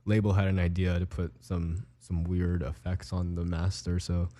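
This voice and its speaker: A young man from Hawaii speaks in a very low, mellow voice. He is a producer answering an interview in a laid-back way, pausing often and leaning on fillers. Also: little pitch movement. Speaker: producer and musician guest.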